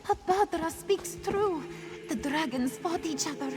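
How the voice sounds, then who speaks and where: High-pitched old cat-folk grandmother, voiced by a woman. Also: a little slow, music in the background. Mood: insistent. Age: older. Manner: speaks of herself in third person.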